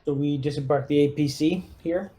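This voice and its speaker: A man's voice: on the high side, steady tone, a little fast, dark tone. A man playing a lieutenant in a tabletop game, giving casual orders in a hesitant, deliberating way. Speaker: player acting as squad lieutenant in a tabletop game.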